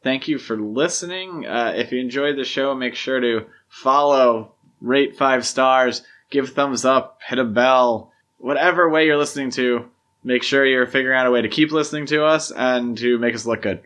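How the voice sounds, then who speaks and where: A man's voice: medium pitch, moderate pace, a bit bright. A man co-hosting a podcast, chatting casually and giving his opinions with emphasis. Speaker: podcast co-host. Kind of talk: casual film discussion chat.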